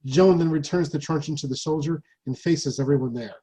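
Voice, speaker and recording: Husky higher-pitched male narrator. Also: brisk, warm tone, poor audio. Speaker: narrator reading stage directions. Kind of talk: scripted narration.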